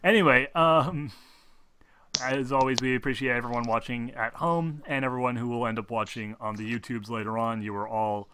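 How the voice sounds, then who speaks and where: A man acting as game master on a casual role-playing stream, explaining haltingly and playfully scolding the players. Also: mid-range voice, fairly even intonation, background noise.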